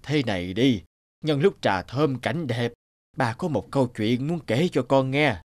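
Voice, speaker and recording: A man playing a wise, patient elderly father in a cartoon, measured and instructive as he gives advice. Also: mid-range voice, lively intonation, no echo, close to an everyday voice. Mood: storytelling. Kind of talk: cartoon dialogue and storytelling. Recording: background music under speech.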